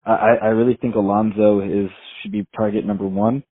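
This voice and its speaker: A man's voice: lowish voice, little pitch movement, brisk, dry recording. A man leaving a casual voicemail as a sports fan, heard over a tinny phone line. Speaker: voicemail caller.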